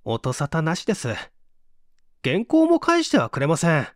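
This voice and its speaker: A man voicing an eager, eccentric young mystery fanatic in polite, excitable audiobook dialogue. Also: on the high side, moderate pace, dry recording, studio quality. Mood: excited. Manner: polite, excitable, animated speech.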